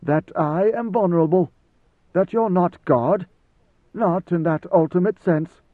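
Man voicing ancient god-emperor, weary, solemn, slow, raised pitch, thin phone-like sound. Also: no room sound. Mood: brooding.

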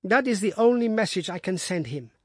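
A man's voice: animated, a little fast, husky, dry recording, studio quality. A man voicing a distraught, anguished young wife who pleads in an emotional, raised voice. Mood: adamant. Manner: pleading, emotional, high-pitched.

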